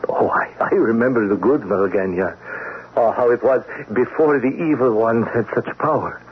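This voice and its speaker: An actor playing a weary middle-aged refugee painter and former political prisoner in a radio drama, speaking in earnest, poetic, pleading phrases. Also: male voice, mid-range voice, lightly acted. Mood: sorrowful, weary. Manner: slow, poetic, pleading, formal foreign phrasing. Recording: tinny band-limited radio, clear noise.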